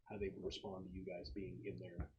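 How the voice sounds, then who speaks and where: A man's voice: low-pitched, level tone, brisk, dark tone, highs cut off. A man improvising explanations as the game master of a tabletop role-playing game.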